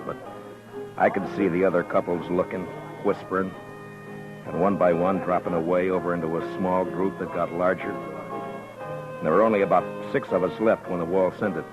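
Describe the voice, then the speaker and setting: Here is a stern frontier marshal in a 1950s radio western, played by an actor, with a very deep, measured voice. Music plays clearly behind him. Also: male voice, highs cut off, natural voice. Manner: calm, measured narration. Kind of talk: 1950s radio drama acting.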